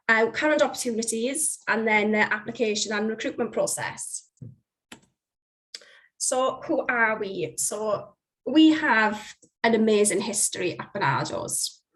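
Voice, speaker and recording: A woman with a croaky voice from being under the weather, giving a friendly charity presentation on a video call. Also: a little high, a bit bright, low-quality recording. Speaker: charity volunteering partner. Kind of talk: online presentation.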